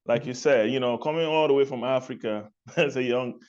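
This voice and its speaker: A man from Cameroon, answering interview questions in a relaxed way, repeating phrases and laughing lightly between points. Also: mid-range voice, a little fast, clean tone, highs cut off. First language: not English. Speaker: guest, music artist and label executive.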